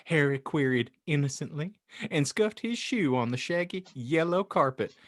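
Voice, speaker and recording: A man's voice: a little high, animated, normal speed, fairly bright tone, dry recording, studio quality. A man hosting a podcast, reading a comic story aloud with dramatic narration and joking banter. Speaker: podcast host and audiobook reader.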